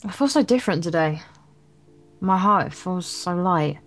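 A woman's voice: on the low side, lively intonation, measured pace. A woman reading visual novel lines aloud in a casual let's play, with sarcastic, irreverent asides. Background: game music.

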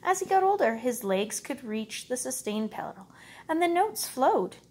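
Female storyteller, higher register, very breathy-husky tone, expressive and measured picture-book read-aloud.

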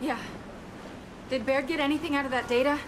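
Woman acting a high-voiced, tough young soldier woman. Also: fairly even intonation, fairly quick, background noise, natural voice. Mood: subdued, determined. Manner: curt, commanding, shouting orders in combat. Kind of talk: video game voice acting.

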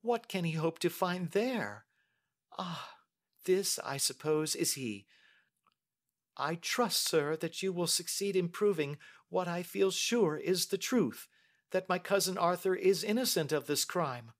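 Man putting on an anxious, high-voiced young lady. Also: animated, a little slow. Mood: earnest, hopeful. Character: earnest, anxious young Victorian lady, pleading and devoted. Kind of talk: audiobook character acting.

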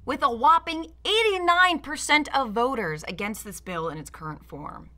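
Sardonic female video essayist, American, animated pitch, forceful bright delivery. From Michigan, United States. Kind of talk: scripted video-essay narration.

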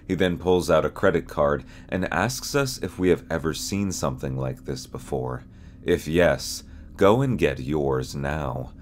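A young man reading scripted narration as host, in a measured, serious, ominous storyteller manner. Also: very deep voice, slight background noise. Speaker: host and narrator of a horror explainer channel.

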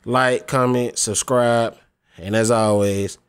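A man's voice: mid-range voice, steady tone, takes it slowly, clear, resonant tone. A man in his twenties talking casually in a gaming vlog, laid-back and slangy, often pleading and repeating himself. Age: 20s. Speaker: gaming YouTuber.

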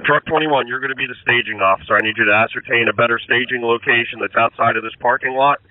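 A man's voice: medium pitch, fast talker. A man acting as fire incident commander, giving procedural instructions over emergency radio. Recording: muffled radio with steady noise.

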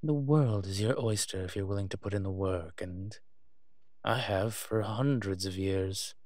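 Roleplay audio drama: ancient male vampire played by a man, refined and fussy, pompous wit, unhurried delivery, dry close sound. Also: on the low side, darkish tone, no room sound, natural voice. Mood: pompous, teasing. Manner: pompous, wry, posh turns of phrase. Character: refined, haughty, centuries-old male vampire, fussy and teasing.

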